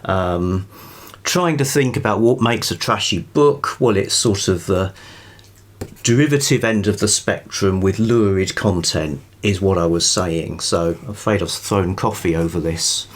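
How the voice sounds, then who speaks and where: A British man rambling informally about books to camera in a halting, thoughtful, wryly amused way. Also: low-pitched. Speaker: book review vlogger.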